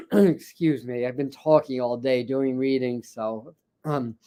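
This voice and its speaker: A man with a scratchy, hoarse voice hosting a spiritual livestream, chatting casually with many fillers and restarts. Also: medium pitch, slow pace, a little mellow. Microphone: ring light setup, speaking to camera.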